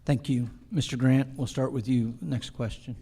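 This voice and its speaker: Male forum moderator, extremely mellow dark tone, mid pitch, formal delivery of questions. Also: fairly even intonation, moderate pace, breathy edge.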